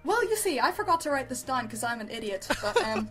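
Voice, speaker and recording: A woman's voice: a little high, big pitch swings, fast, a bit bright, soft background music. A woman co-hosting an amateur review show, chatting casually and unscripted in a giggly, tentative, self-deprecating way.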